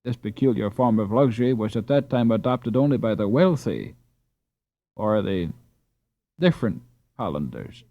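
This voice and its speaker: A man's voice: on the low side, lively intonation, normal speed, pauses often, warm tone, dry recording. A man reading a children's novel aloud as a scripted audiobook narration. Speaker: audiobook reader. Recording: slightly dull, dry recording.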